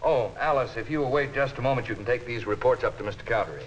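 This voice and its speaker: An American actor playing a brusque, patronizing middle-aged office boss in a 1950s instructional film, on a muffled, hissy soundtrack. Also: male voice, mid-range voice, fast talker, natural voice. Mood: brisk, businesslike. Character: exasperated male accounting department boss, brusque and patronizing.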